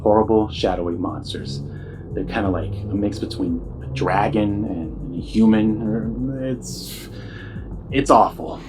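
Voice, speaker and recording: An actor playing a weary, sardonic struggling musician who cannot sleep, in an audio drama. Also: male voice, on the low side, unhurried, over music, natural voice. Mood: uneasy. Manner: sardonic, blunt, hesitant. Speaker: caller to radio show.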